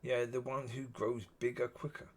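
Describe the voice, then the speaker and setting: Man, husky, gravelly, rambling solo talk, average pitch. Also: level tone, normal speed. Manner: musing, repeats yeah often. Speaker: solo video talker.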